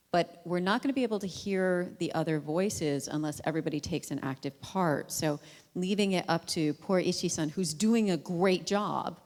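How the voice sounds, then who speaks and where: An American woman speaking as a panelist in a panel discussion, emphatic and outspoken. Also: fairly low pitch. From United States. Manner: emphatic, self-described loud voice. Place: conference hall on stage. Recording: reverberant conference hall.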